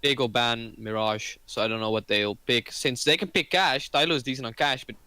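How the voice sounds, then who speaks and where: A man's voice: medium pitch, a little fast, pushed and bright, smooth, dry recording. A Dutch-speaking man from the Netherlands giving casual esports analysis, hesitant and full of restarts. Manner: hesitant, many fillers and restarts. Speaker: podcast guest analyst.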